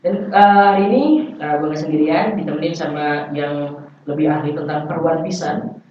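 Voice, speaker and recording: A man's voice: fairly high pitch, fairly even intonation, normal speed. Young man, podcast host, casual interviewing, very echoey room, distant from microphone. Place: indoor podcast studio. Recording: echoey room, far from mic, dull, faint noise.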